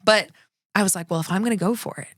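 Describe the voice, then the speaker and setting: A woman's voice: medium pitch, fast, a bit bright, dry recording, clean studio sound. A woman co-hosting a podcast, chatting with enthusiasm about food and travel and often trailing off to restart her sentences. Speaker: podcast co-host. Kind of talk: casual chat.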